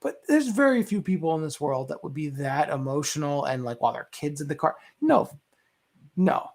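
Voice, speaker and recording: Man, fairly high pitch, casual panel chat, animated retelling, acted-out reactions. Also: moderate pace, gravelly. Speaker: discussion show panelist. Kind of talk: casual movie discussion chat.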